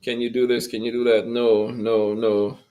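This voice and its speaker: A man's voice: mid-range voice, level tone, normal speed, warm tone. A man in his fifties, from Trinidad and Tobago, earnestly telling his life story as a guest. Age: 50s. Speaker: guest, music school founder. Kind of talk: personal story in an interview.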